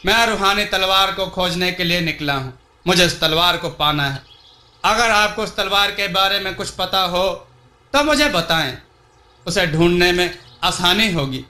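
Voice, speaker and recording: A man's voice: sits high, lively intonation, measured pace, pushed and bright, echoey room, exaggerated voice. A man voicing an earnest young adventurer in love, in storybook dialogue. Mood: determined.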